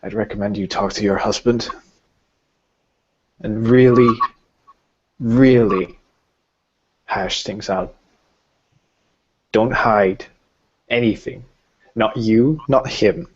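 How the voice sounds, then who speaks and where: Man playing a slow, grave female werewolf elder. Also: lowish voice, fairly even intonation, lo-fi sound. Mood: grave, advising. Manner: halting, earnest, emphatic.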